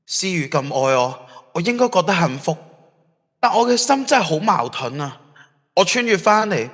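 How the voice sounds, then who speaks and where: Conflicted young lover, played by a man, Hong Kong Cantonese, higher-pitched and very expressive, earnest radio-drama soul-searching in a reverberant hall. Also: slightly rough, full character voice. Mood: hesitant, troubled. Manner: introspective, earnest, emotional. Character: conflicted, remorseful young man torn in love. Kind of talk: audio drama acting.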